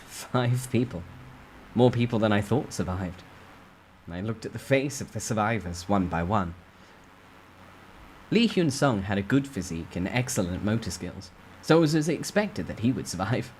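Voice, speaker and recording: A man's voice: lowish voice, expressive, normal speed, a little mellow, a little hiss or hum, rough recording. A man reading a first-person story aloud as a dramatic storytime narration.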